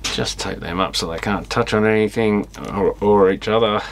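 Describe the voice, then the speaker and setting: A man's voice: fairly low pitch, moderate pace, background noise. A man giving casual, unscripted commentary as he tinkers with electronics, thinking aloud in fragments and trailing off. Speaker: electronics repairer and video host.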